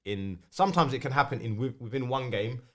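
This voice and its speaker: A man's voice: mid-range voice, brisk. A young man, a podcast co-host recounting a football match with energy. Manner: energetic, builds a story step by step. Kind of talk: animated storytelling.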